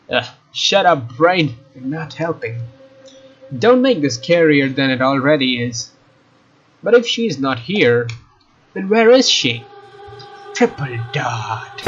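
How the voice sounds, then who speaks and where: Man, fairly high voice, casual game read-through, expressive asides, muffled lo-fi mic. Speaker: let's player reading a game aloud. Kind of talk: casual reading of visual novel narration with asides. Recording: dull lo-fi mic, faint noise.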